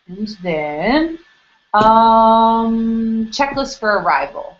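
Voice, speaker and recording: A woman's voice: on the high side, big pitch swings, very slow. Chatty woman on dull webcast audio. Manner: chatty, informal, explains step by step with fillers. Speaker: international admissions staff host. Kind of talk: live webcast info session.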